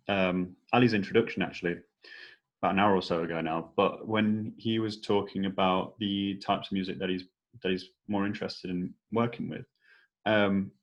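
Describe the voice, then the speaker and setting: Even-toned, low-voiced man moderating. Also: muffled recording. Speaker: discussion moderator. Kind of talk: unscripted panel discussion.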